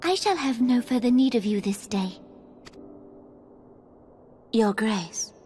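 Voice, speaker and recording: An actress playing a small, earnest young female ruler in a fantasy game, speaking in measured, formal phrases. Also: fairly high pitch, animated, mellow, a little hiss or hum. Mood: grave, resolute. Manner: regal, measured, archaic phrasing.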